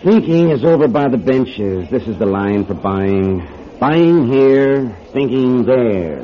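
Brusque ticket clerk, middle pitch. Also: male voice, animated, slow pace. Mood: impatient, sarcastic. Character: brusque, sarcastic male bus station ticket clerk. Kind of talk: radio drama acting. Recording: dull lo-fi radio drama tape.

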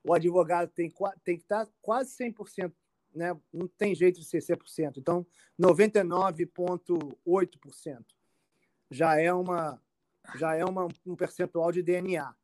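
A man with a Brazilian accent answering informally as an expert, halting and repetitive, often checking he is understood. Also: high-pitched, fairly even intonation, darkish tone, dry recording. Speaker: immigration expert being interviewed. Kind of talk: informal explanatory interview answers.

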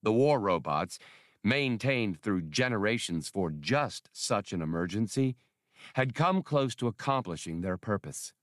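Deep-voiced man narrating an adventure audiobook. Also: lively intonation, measured pace, no room sound, clean studio sound. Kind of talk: scripted narration of a science fiction adventure novel.

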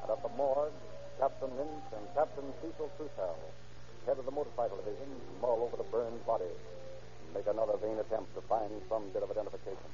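Quick-talking radio drama narrator guy, dramatic, muffled old recording with hiss. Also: fairly low pitch. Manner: dramatic, declamatory.

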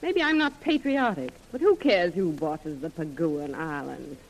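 A woman's voice: sits low, animated, measured pace, slight background noise, poor audio. An actress playing a plucky, frank woman companion to an adventurer in old-time radio drama. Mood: frank, concerned.

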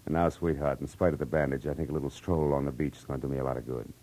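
A man's voice: rumbling low, fairly even intonation, very fast, warm tone. An actor playing a wry, hard-boiled American private eye who talks in easygoing tough-guy slang in a 1940s radio drama. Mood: relaxed, wry. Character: hard-boiled, easygoing male private eye, narrating his own case. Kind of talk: 1940s radio detective drama acting and narration.

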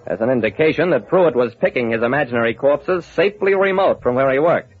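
An actor playing a shrewd, hard-boiled investigator in an old-time radio drama, wry, clipped and confident. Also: male voice, mid-range voice, animated, a little fast, natural voice. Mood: wry, brooding. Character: shrewd, hard-boiled male investigator telling his case. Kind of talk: old-time radio detective narration and acting. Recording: muffled vintage radio recording.

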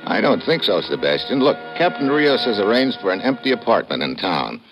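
An actor playing a tough, dogged American investigator in an old radio drama, talking and narrating in a clipped, slangy way. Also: male voice, lowish voice, sing-song, a little fast, over music. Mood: brisk, determined. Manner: clipped, slangy, hard-boiled. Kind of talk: 1950s radio drama acting with first-person narration. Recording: muffled, noisy old radio recording.

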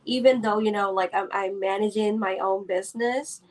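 A woman's voice: mid-range voice, fairly even intonation, moderate pace. Hesitant woman, business owner. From Philippines. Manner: hesitant, many fillers and restarts, laughs often. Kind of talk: casual interview.

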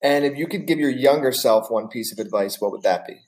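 A man's voice: mid-range voice, brisk, a little mellow, rough recording. Casual male podcast host. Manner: casual, says "man" a lot. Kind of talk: scripted interview questions.

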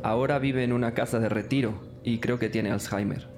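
A man's voice: medium pitch, fairly even intonation, a little fast, close to an everyday voice. An actor playing a gossipy male school administrator, eager to please, formal and insinuating, in an audio drama with music behind. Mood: obliging, gossipy. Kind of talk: scripted audio drama dialogue.

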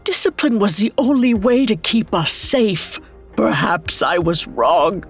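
A woman voicing an older, dying mother superior in a dark fairy tale, halting and pleading, by turns fanatical and remorseful. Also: mid-range voice, lively intonation, slightly rough, faint music behind.

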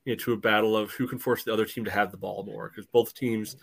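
A man's voice: lowish voice, steady tone, fast talker, vocal fry, husky, dry recording. A man giving casual soccer analysis as a podcast panelist, in a conversational, hedging way.

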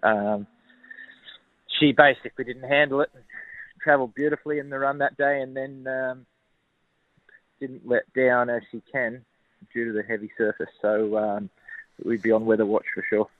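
A man with an Australian accent, a racehorse trainer talking casually on the phone, relaxed and full of ums and uhs. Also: mid-range voice, moderate pace, pauses often, no room sound. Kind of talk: phone interview. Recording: tinny phone line.

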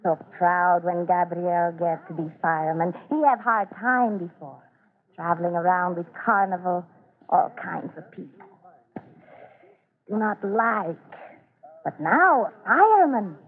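An actress playing an anxious, proud elderly immigrant widow in halting broken English in an old radio drama. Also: female voice, lowish voice. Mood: wistful, proud. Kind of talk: 1940s radio drama acting. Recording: tinny, band-limited old radio recording.

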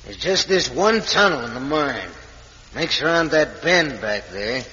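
An actor playing a surly, scheming Old West villain with an American accent in an old radio western. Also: male voice, mid-range voice, sing-song, measured pace, bright, forceful tone. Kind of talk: 1950s radio western acting. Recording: echoey, dull old radio recording.

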